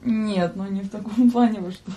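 A woman's voice: mid-range voice, moderate pace, mellow. Casual joking woman, faint background noise. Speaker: radio show guest.

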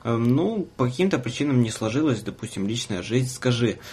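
A man hosts a casual radio talk show in a medium-pitched voice with a slight husk. He interviews playfully, teasing as he goes and bantering informally. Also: lively intonation, fairly quick, a little mellow, slightly rough.

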